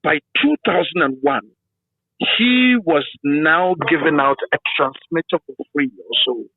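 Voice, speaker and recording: High-pitched Ghanaian man, emphatic radio storyteller. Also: lively intonation, unhurried, no echo, narrow, tinny audio. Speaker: radio broadcaster being interviewed. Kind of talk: conversational interview reminiscence.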